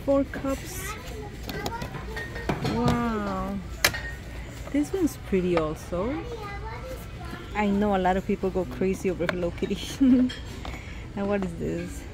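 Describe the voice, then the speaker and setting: A young woman chatting casually and excitedly to her phone camera while shopping and then eating out. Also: mid-range voice, slow pace, pauses often. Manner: chatty, excited, exclaims over cute things. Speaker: vlogger. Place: busy store and fast-food restaurant. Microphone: handheld phone camera. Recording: noisy public place ambience.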